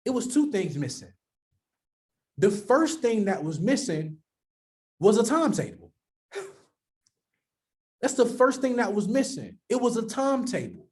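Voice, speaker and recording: High-voiced man preaching a conversational sermon. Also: darkish tone. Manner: conversational preaching, repeats key phrases; reads scripture aloud then explains it.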